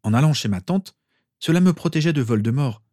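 A man's voice: on the high side, lively intonation, brisk, dark tone, dry recording, clean studio sound, voice pushed up, natural voice. A man voicing an earnest, awkward teenage wizard boy in an audiobook, hesitant as he explains himself. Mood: explaining.

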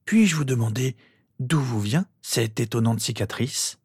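A man voicing a venerable old wizard headmaster in an audiobook, calm, courteous and pensive in a measured way. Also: medium pitch, slightly rough, no room sound, clean studio sound, voice pushed up. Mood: courteous, thoughtful.

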